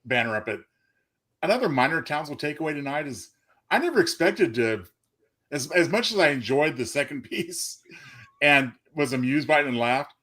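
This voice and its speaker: Jokey, animated man, slightly high-pitched. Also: normal speed. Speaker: co-host of a live panel show. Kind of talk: casual chat.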